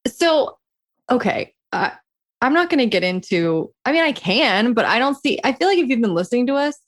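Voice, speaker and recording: High-voiced frank woman podcaster. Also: big pitch swings, normal speed. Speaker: podcast co-host and coach. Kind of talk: casual chat between co-hosts.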